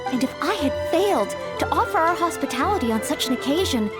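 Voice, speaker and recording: A woman voicing a young, dutiful maid knight in a video game, gentle, courteous and formal in an anime style. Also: high voice, animated, fairly quick. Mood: earnest. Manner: polite, formal, eager to help. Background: game music.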